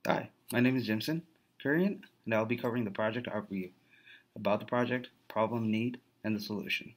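A man's voice: fairly low pitch, a little fast. A young man reading out a scripted slide presentation as a student presenter. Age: young adult.

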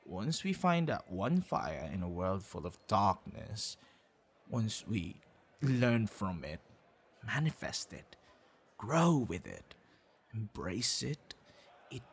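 A man's voice: on the low side, husky, heavily acted. A man acting a tender, secretive young man on a date in an ASMR boyfriend roleplay, easily flustered. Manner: slow, intimate, with halting stammers when flustered.

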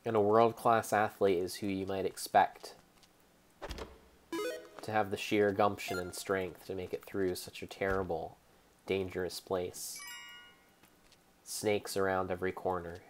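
A young man giving a theatrical, mock-serious dramatic narration over gameplay, speaking deliberately like a storyteller. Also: deep voice, little pitch movement, soft background music. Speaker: game streamer.

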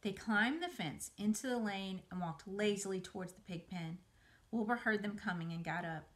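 A middle-aged woman reading a children's story aloud indoors, in an expressive, dramatized way. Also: mid-range voice, moderate pace. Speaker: museum educator reading aloud. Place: small room by a window, indoors.

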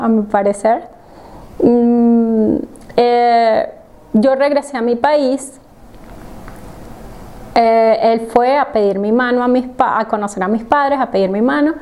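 A young woman with a Venezuelan Spanish accent gives a testimonial, filmed in a medium shot in an indoor room. Her voice sits a little high with a mellow, soft tone. She speaks slowly and hesitantly, leaning on gentle fillers between phrases. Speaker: doctor and former language student. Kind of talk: personal testimonial to camera. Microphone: medium shot from a fixed camera.

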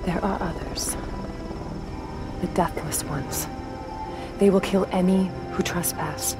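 An actress playing a guarded young warrior in a video game, serious and stern. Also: female voice, lowish voice, fairly even intonation, takes it slowly. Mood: grave warning. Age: young adult. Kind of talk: cinematic game acting. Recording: loud music behind.

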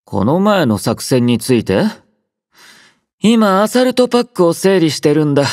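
A man voicing an aloof, laid-back young soldier in an anime-style game, quietly caring, with dry, understated teasing. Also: fairly high pitch, big pitch swings, normal speed, no echo. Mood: nonchalant, dry. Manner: languid, dryly teasing, understated. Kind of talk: Japanese anime-style game character voice lines.

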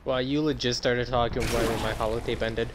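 A man's voice: mid-range voice, flat delivery, fairly quick, background noise. A man chatting casually as a teasing co-op gaming partner over voice chat.

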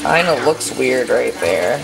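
A woman's voice: extremely low pitch, expressive, takes it slowly, gravelly, rough recording. A woman in her thirties chatting casually while she cooks in her home kitchen, talking to herself as she works, recorded on a camera mic. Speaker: cooking vlogger. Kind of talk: casual cooking vlog narration. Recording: home kitchen with running water and noise. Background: kitchen sounds, running tap.

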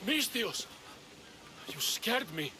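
A man's voice: sits high, big pitch swings, slow, exaggerated voice. An actor playing a wary, weary older man, a fearful former priest turned farmer, in a video game. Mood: anxious. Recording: music under the voice. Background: background music.

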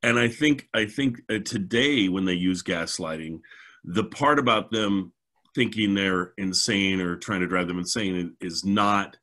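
A man's voice: deep voice, measured pace, pushed and bright. A middle-aged American man hosting a casual, chatty discussion over a video call, with an enthusiastic manner. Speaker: show host. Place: home, indoors, video call.

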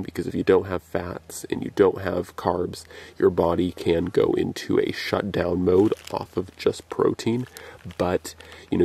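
Young male outdoor vlogger, casual explainer; deep, mellow delivery. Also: creaky. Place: outdoors in woods. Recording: faint background noise outdoors.

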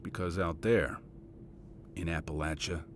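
Man playing a low-voiced, fond military father. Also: fairly even intonation, slight background noise. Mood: firm, encouraging. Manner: measured, fatherly, encouraging.

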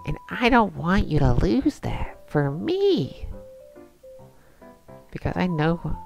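A woman voicing a lovestruck, earnest young female hedgehog in a cartoon comic dub, sweet but pushy, with coy, drawn-out emphasis. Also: fairly low pitch, big pitch swings, gravelly, a little mellow, soft background music, full character voice. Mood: earnest, loving. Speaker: voice actor in a fan comic dub.

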